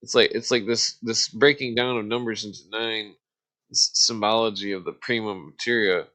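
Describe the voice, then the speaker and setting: A man giving a casual, rambling explanation as host of an online discussion, halting and searching for words as he loses his thread. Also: lowish voice, steady tone, bright, forceful tone. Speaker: host explaining esoteric symbols.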